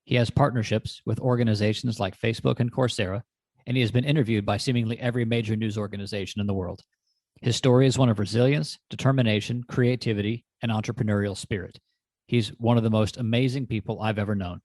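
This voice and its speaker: A man hosting a podcast, reading a measured intro and then chatting with a guest in a slow, measured way. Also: on the low side, steady tone, a little mellow, dry recording. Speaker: podcast host. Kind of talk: scripted intro, then casual interview.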